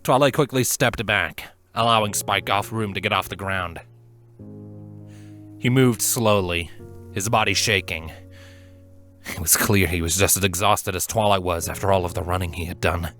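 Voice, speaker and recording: Low-pitched man narrating a story, earnestly. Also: moderate pace, long pauses, a bit bright, faint music behind. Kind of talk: expressive scripted fan fiction narration.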